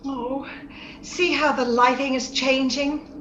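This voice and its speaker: A high-pitched, impetuous young heroine of a radio melodrama, played by a woman, theatrical and headstrong in her passion. The voice sits in a roomy, slightly dull video-call recording with faint noise. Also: steady tone, takes it slowly. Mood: rapturous, tender. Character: Kathy, passionate, willful young woman of the moors. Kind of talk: old-time radio drama acting. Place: indoor room, remote video-call session.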